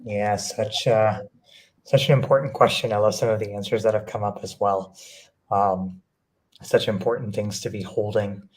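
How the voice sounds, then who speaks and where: A man speaking as an education leader on a panel, reflective and measured, pausing between phrases as he thinks. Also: lowish voice, steady tone. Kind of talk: thoughtful panel discussion.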